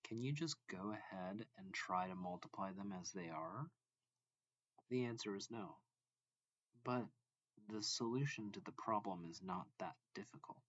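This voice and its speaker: A man reading a math lesson aloud as a teacher, deliberately and step by step, phrase by phrase. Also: lowish voice, a bit bright, breathy edge, no room sound, dull audio. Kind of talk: slow read-along lesson narration.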